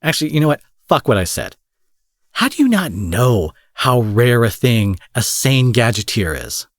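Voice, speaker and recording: A man's voice: medium pitch, very animated, moderate pace, dry recording, clean studio sound. A man playing an angry, protective supervillain in an intimate audio roleplay, menacing yet tender, speaking emphatically with swearing and pet names. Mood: angry, indignant.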